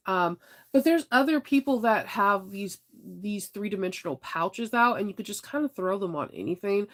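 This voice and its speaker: A woman's voice: fairly low pitch, vocal fry. Chatty congested woman vlogger. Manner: chatty, laughs often, rambling asides. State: congested, stuffy nose. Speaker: vlogger talking to viewers. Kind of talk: casual chat.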